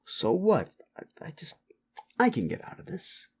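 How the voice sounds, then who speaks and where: A man's voice: on the high side, huge pitch swings, measured pace, a little mellow, slightly rough, heavily acted. A man playing a brash, cocky lone-wolf troublemaker in an audio drama, flippant and defensive. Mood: flustered. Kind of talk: dramatic audio roleplay acting.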